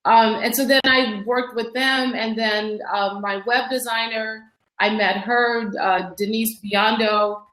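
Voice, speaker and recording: A woman's voice: on the high side, steady tone, slow pace, hardly any pauses, a bit bright. A woman in her fifties, an author chatting in an interview, talking in long rambling runs with restarts. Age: 50s. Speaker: author guest. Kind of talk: casual interview conversation. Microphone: online video call. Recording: echoey online call audio.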